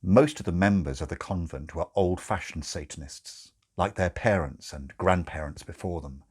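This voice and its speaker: A man's voice: deep voice, normal speed, a little mellow, husky. A man narrating a comic audiobook in a wry, theatrical storytelling manner.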